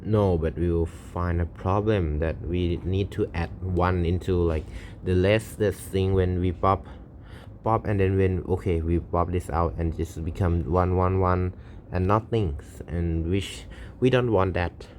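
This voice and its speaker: Slow, deep-voiced man. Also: steady tone, a little mellow, a little hiss or hum. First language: Thai. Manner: hesitant, self-correcting, halting non-native English. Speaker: channel host. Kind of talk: thinking aloud while solving a coding problem.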